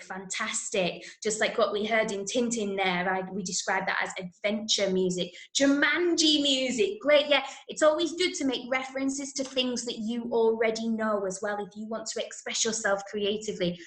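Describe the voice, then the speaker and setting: A woman with an English accent and a fairly high voice leads an online lesson for schoolchildren. She gives simple step-by-step instructions and encourages pupils by echoing their answers with praise. The sound is noticeably echoey, as in a bare room. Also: normal speed, few pauses. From Manchester, UK. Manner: clear step-by-step instructions to children. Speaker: drama workshop teacher.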